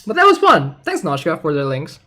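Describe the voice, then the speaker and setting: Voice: medium pitch, wildly swooping pitch, a little fast, bright, forceful tone. A streamer giving casual, conversational commentary on a game during a livestream. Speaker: streamer, host.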